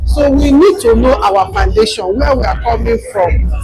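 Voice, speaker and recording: Emphatic, very high-pitched man. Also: normal speed, over music, poor audio. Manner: emphatic, preachy. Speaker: interviewee, audience member. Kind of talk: unscripted interview.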